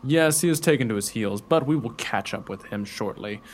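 A man's voice: medium pitch, animated, moderate pace, a little hiss or hum, close to an everyday voice. A man playing a gallant, self-assured rescuer and suitor in a radio play, with formal, declamatory delivery. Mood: confident, commanding.

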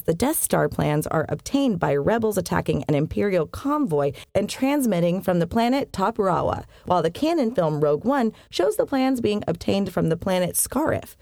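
Expressive woman hosting a podcast. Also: mid-range voice, dry recording, clean studio sound. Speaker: podcast host. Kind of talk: scripted narration of science fiction history.

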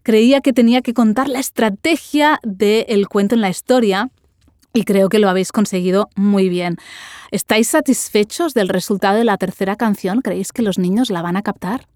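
A woman's voice: medium pitch, normal speed, a little mellow, dry recording, studio quality. A woman hosting a podcast interview, engaged and asking follow-up questions.

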